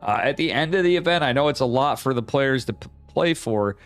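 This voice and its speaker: A man's voice: medium pitch, expressive, brisk. American man casting esports over music. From upstate New York, United States. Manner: rambling, slangy, chatting back to viewers. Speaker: esports caster and stream host. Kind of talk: casual livestream chat and game commentary.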